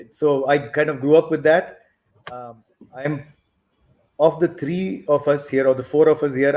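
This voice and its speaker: A man's voice: medium pitch, a little mellow. A man who grew up in eastern India with Tamil at home, talking reflectively and with a self-deprecating touch as a guest in a casual panel discussion.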